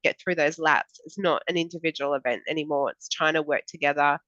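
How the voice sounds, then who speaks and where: A woman's voice: deep voice, steady tone, a little fast, fairly bright tone, dry recording, muffled recording. Nasal Australian woman with a cold. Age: 40s. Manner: chatty, many fillers and laughs. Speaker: podcast co-host. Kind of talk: casual chat.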